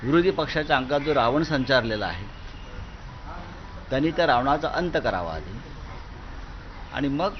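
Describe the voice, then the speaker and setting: A man's voice: medium pitch, lively intonation, normal speed, long pauses, slight background noise. A man making a political statement in a news clip. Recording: dull lo-fi clip.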